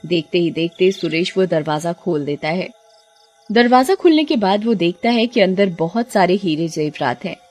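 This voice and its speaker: A woman's voice: lowish voice, expressive, moderate pace, a little mellow, soft background music. A woman giving scripted storybook narration for a cartoon.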